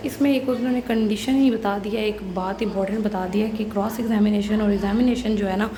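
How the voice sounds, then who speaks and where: A woman's voice: mid-range voice, a little mellow, slight background noise, low-quality recording. A woman with a Pakistani accent lecturing on law in an instructive way, reading out clauses and then explaining and repeating the key points.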